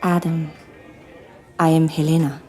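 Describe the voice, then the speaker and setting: Low, mellow, mysterious femme fatale. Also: female voice, little pitch movement, faint music behind. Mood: intrigued, seductive. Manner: formal, measured, aloof. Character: cool, mysterious, seductive dark-haired femme fatale Helena. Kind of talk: noir audio drama dialogue.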